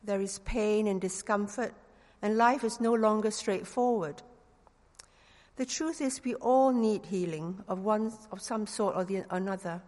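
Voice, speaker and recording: A middle-aged woman from Singapore with a Singaporean English accent and a touch of London slang, giving an earnest sermon with gentle humor and leading prayer. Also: medium pitch, unhurried, long reverb tail. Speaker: preacher.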